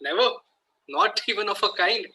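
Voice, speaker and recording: A man acting an earnest, flustered Victorian gentleman in an amateur table read, formal and slightly halting, with a husky, forceful voice. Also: sits high, animated, heavily acted, poor audio. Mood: sheepish, defiant.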